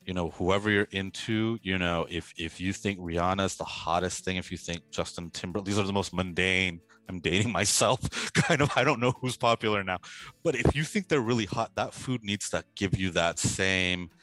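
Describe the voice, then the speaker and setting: A man from Peru, raised in Miami, answering interview questions about food in an animated, expressive way. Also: sits low, normal speed.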